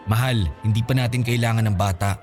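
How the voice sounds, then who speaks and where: An actor playing an evasive, selfish young boyfriend in a Filipino radio drama, defensive and insistent. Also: male voice, lowish voice, fairly even intonation, quick pace, a little mellow, close to an everyday voice. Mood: defensive, coaxing. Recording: music bed behind the voice.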